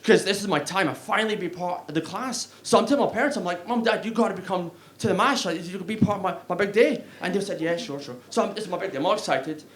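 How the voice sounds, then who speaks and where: A man's voice: sits high, quick pace, few pauses, fairly bright tone. A young man with a Glaswegian Scottish accent doing a stand-up comedy set on a club stage, chatty and self-mocking, often laughing at his own jokes. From Scotland.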